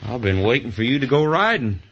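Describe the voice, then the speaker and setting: A jealous, hot-headed cowboy of an old radio western, blustering out threats in a bright, forceful voice. The sound is a dull, noisy vintage radio recording. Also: male voice, mid-range voice, big pitch swings, fairly quick. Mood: angry, threatening.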